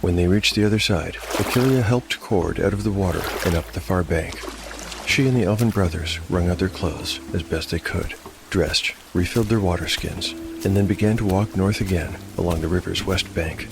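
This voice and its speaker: A man narrating as host of a scripted dark fantasy story show, in a measured, articulate storytelling manner. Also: deep voice, creaky. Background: music.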